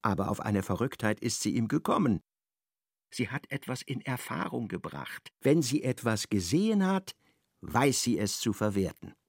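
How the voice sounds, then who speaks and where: A man narrating a literary radio drama in a measured, expressive storytelling manner. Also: medium pitch, vocal fry, breathy edge, no echo, clean studio sound.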